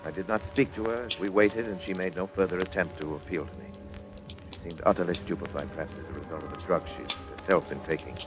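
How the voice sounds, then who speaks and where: A low-pitched, cold and controlling doctor played by a man from a vintage radio thriller. He speaks in formal, deliberate, theatrical phrases, every inch the murderous man of science, with dramatic music underneath. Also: close to an everyday voice. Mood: grave narration. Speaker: radio drama actor and narrator. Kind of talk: old-time radio suspense acting.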